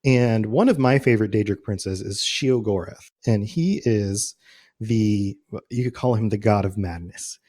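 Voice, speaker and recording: A man's voice: on the low side, a little slow, darkish tone. A man chatting informally and casually as a podcast host about game lore, often chuckling.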